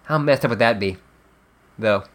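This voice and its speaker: A man voicing a chatty, flippant teenage girl in a webcomic dub, with wry, drawn-out emphasis. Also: mid-range voice, fairly bright tone, natural voice. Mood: curious, musing. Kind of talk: character dub reading of a webcomic.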